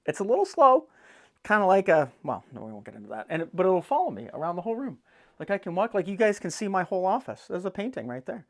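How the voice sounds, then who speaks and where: A man's voice: high-pitched, big pitch swings, quick pace. A man hosting a casual how-to video, with a blunt, irreverent, joking and sweary manner, talking straight to viewers in a folksy way. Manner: folksy, addresses viewers directly. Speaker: host of a boating DIY video channel. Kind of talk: casual instructional vlog. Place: indoors in an office.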